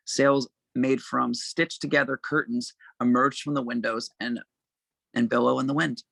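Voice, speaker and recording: Casting: male narrator for script directions, crisp bright tone with some fry, dry sound. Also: mid-range voice, steady tone, normal speed, no room sound. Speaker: narrator reading stage directions. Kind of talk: scripted narration at an amateur table read.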